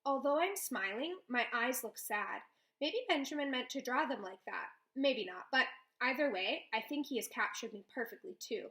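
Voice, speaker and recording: A woman reading a children's novel aloud, with expressive first-person storytelling. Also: fairly high pitch, moderate pace. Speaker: read-aloud host.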